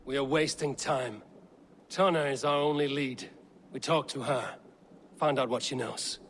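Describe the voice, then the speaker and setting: Game lead: commanding Viking fighter, mid-pitched, terse, blunt, all business. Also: male voice, steady tone, measured pace, fairly bright tone, a little hiss or hum. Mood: firm. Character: stern, determined Viking warrior, blunt and commanding. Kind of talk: video game cutscene acting.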